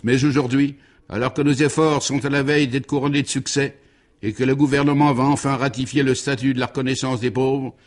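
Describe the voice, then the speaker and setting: A man's voice: medium pitch, a little mellow, voice pushed up, natural voice. Man playing a pompous, declaiming baron, voice raised. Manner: declamatory, grandiloquent speechmaking. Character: pompous aristocratic baron addressing a charity gala.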